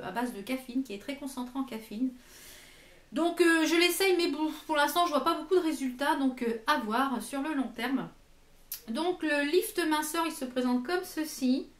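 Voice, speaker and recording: High-voiced woman, echoey room. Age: late 30s. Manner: chatty, hesitant, reads labels aloud. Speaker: beauty vlogger presenting a product box. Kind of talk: casual product review.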